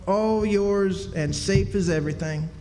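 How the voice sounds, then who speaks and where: A man's voice: high-pitched, measured pace, warm tone. A man playing an eager, earnest boy in a radio drama. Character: eager adventurous boy breaking news to his friend. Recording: reverberant hall, faint noise.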